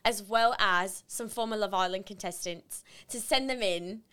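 A woman co-hosting a podcast in casual chat with friends, telling stories in a playful, animated way. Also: mid-range voice, moderate pace, clear, resonant tone. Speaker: podcast co-host.

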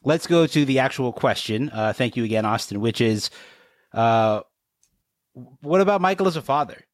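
A man with a mid-pitched voice chats casually as a podcast co-host. The recording is very dry, free of room sound. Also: expressive, normal speed, no echo.

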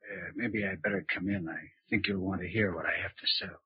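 A cool American blackmailer with a very husky, insinuating voice. He is sardonic and quietly menacing, in 1940s radio style. The sound is dull and dry. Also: low voice, steady tone, brisk, no room sound. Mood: smooth, insinuating. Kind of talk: 1940s radio drama acting. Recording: muffled old radio recording.

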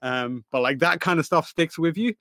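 A man's voice: fairly high pitch, moderate pace, pushed and bright, dry recording, clean studio sound. A man born in Pakistan and raised in East London, with an East London accent, guest-hosting a casual chat in a rambling, laughing way. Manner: chatty, rambling, laughs often. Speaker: guest host.

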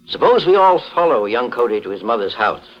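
A man plays a shrewd gentleman detective from a 1940s radio mystery. He questions in measured, formal phrases with wide, deliberate swings of pitch, heard through a muffled vintage broadcast. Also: sing-song, close to an everyday voice. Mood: assured. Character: calm, shrewd gentleman detective, methodical and authoritative.

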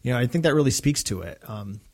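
A male podcast co-host with an average-pitched voice. He explains things casually and agreeably, as conversational commentary. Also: lively intonation, fast, soft background music.

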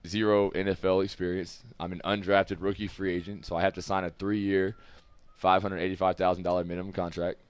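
A man's voice: sits low, little pitch movement, a little fast, few pauses, clean tone. A man in his twenties from the United States, a football player talking candidly and easily as a guest in a studio. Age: 20s. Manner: candid, easygoing, loose and rambling. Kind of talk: casual interview talk. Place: studio room with several people.

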